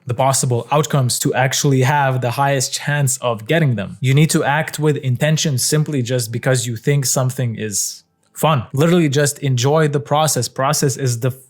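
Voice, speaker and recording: A young man speaks to the camera with a Lithuanian accent at a mid-range pitch, musing in an easygoing way. His English is conversational and loose, with fillers and the odd curse word. He sounds like a creator thinking out loud. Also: moderate pace. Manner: relaxed, conversational, frequent fillers and casual swearing. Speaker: content creator. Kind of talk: casual reflective monologue.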